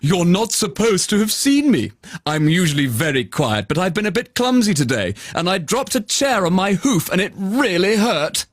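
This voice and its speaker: A man voicing a kindly, calm unicorn who cleans houses in a children's bedtime story. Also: high voice, moderate pace, no echo, clean studio sound, voice pushed up. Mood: kindly, chatty.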